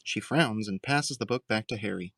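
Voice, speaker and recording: A man's voice: lowish voice, normal speed, gravelly, fairly bright tone, a little hiss or hum. A man narrating a scripted audio drama, describing the scenes as a storyteller.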